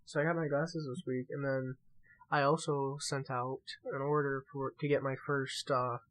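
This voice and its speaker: A man's voice: medium pitch, little pitch movement, normal speed, a bit bright, poor audio. A man co-hosting a podcast in casual, joking chat, bantering with mock seriousness and swearing freely. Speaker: podcast co-host.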